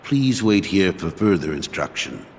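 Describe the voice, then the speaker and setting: An actor playing a stern, solemn older master in a video game, formal and measured, with echoing authority. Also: male voice, low-pitched, sing-song, slight background noise, full character voice. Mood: grave, formal. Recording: long echoing hall reverb.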